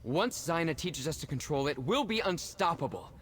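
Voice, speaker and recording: An actor playing an anxious, fatalistic captive with dangerous powers in a video game, earnest and emotional. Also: male voice, fairly high pitch, animated, moderate pace, fairly bright tone, a little hiss or hum. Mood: rallying. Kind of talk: video game dramatic acting.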